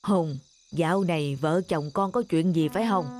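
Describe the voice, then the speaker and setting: A woman voicing a grave, kindly elderly father in a cartoon, giving measured counsel. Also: deep voice, darkish tone, soft background music, natural voice. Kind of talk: scripted cartoon dialogue.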